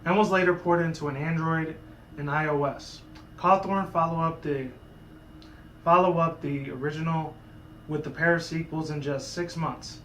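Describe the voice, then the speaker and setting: Man, hoarse sore voice, casual rambling commentary, lots of asides, echoey room. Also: on the high side, fairly even intonation, moderate pace, fairly bright tone, slight background noise. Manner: rambling, full of asides and restarts. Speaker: YouTuber.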